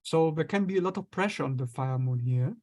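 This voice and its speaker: Higher-voiced man explaining astrology calmly. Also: fairly quick. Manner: explains with acted-out inner voices and light laughs. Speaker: astrologer guest. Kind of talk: conversational explanation.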